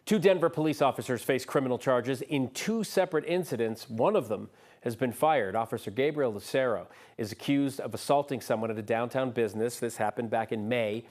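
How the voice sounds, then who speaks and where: Man anchoring a news broadcast. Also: medium pitch. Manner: steady, measured delivery. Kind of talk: scripted news reading.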